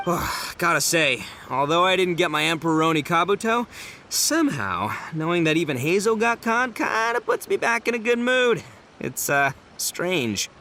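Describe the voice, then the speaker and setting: A man voicing a brash, boisterous young male gang leader in a game, loud and slangy. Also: high voice, sing-song, normal speed, slight background noise, full character voice. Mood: sincere. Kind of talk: game cutscene voice acting.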